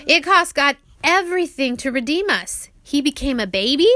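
A woman's voice: sits high, lively intonation, normal speed, pushed and bright. A woman reading her own children's religious book aloud as its author, in a teacherly way, often stopping to put questions to her children.

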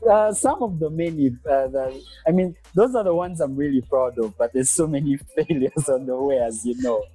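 A young man from Uganda, a guest entrepreneur, talking in a podcast interview with hesitant pauses and restarts. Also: medium pitch, slightly rough, dry recording, faint music behind, low-quality recording. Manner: hesitant, many fillers and restarts, soft-spoken.

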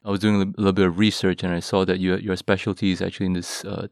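An upbeat young man with a Singaporean English accent hosts a podcast. His voice sits fairly low, and the sound is dry and close with no room around it. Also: level tone, very fast, dry recording. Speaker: podcast host. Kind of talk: podcast interview.

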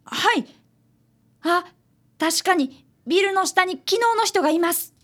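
女性が演じる高い声の礼儀正しい少年探偵見習い. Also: female voice, extremely high pitch, expressive, unhurried, fairly bright tone, exaggerated voice. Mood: polite, eager. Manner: polite, deferential speech. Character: polite, earnest young boy, apprentice detective. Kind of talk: radio drama acting and narration.

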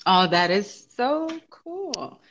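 Bright, upbeat woman interviewing, lo-fi. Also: medium pitch, sing-song, drawn-out words, a little hiss or hum. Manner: upbeat, encouraging questions. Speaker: podcast host, interviewer. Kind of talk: casual podcast interview.

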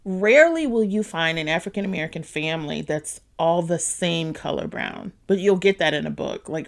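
A woman's voice: lowish voice, gravelly. A woman talking conversationally in an interview as a children's author.

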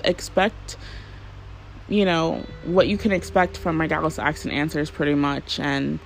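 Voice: medium pitch, vocal fry, poor audio. A podcast host in a casual solo monologue, rambling and chatty, with lots of fillers and the odd swear word.

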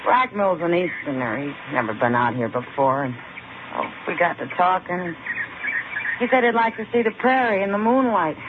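A woman's voice: on the low side, expressive, normal speed, close to an everyday voice. Actress as a feisty frontier woman, tinny old radio. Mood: rueful, embarrassed. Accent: American. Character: feisty, quick-tempered frontier woman, proud and wry. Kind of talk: 1950s American radio western acting. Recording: tinny, noisy 1950s radio broadcast recording.

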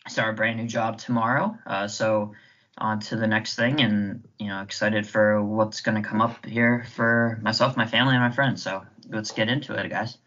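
A man of about thirty-five co-hosting a casual podcast, friendly and quick to laugh. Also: lowish voice, steady tone, a bit bright, dull audio. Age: 30s. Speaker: podcast co-host.

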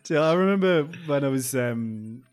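A young man in an easy, casual chat, chiming in with quick remarks and sharing stories of his own. His voice sits at a plain, middle pitch for a man. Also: expressive. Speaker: podcast co-host.

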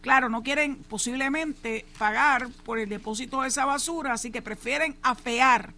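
A woman's voice: sits high, moderate pace, bright, forceful tone. A Puerto Rican woman hosting a show, giving emphatic opinion commentary on local news and dropping in English asides. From Puerto Rico.

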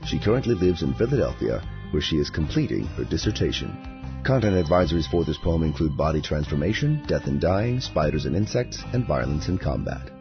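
A man's voice: extremely low pitch, big pitch swings, fairly quick, gravelly. A man hosting a podcast, reading a scripted introduction in a slightly dull, lo-fi recording. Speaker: podcast host. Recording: dull, lo-fi sound. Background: music.

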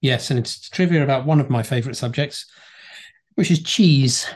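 A man hosts a podcast in a mid-pitched voice. He sounds upbeat and friendly, and leans hard on key words as he asks his questions. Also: moderate pace, darkish tone. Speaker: podcast host, interviewer. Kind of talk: casual podcast chat.

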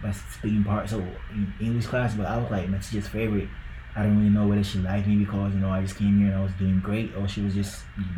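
A man's voice: on the low side, monotone, fast talker, dark tone, echoey room, a little hiss or hum, poor audio. A young man from Ghana with a Ghanaian accent, talking loosely on a podcast and filling his talk with likes and bros. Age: young adult. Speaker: podcast co-host. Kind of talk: casual podcast chat.

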